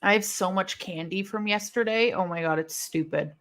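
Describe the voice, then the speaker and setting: A woman from Illinois hosting a live wrestling watch-along, chatting casually and rambling, with intense, sharp S sounds left from a lisp. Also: mid-range voice, normal speed. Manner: chatty and rambling, heavy use of "like". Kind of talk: casual live-stream chat reading comments.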